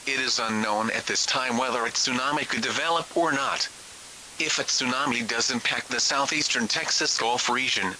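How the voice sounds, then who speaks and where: A man's voice: medium pitch, background noise. A man reading a scripted emergency alert as a broadcast announcer.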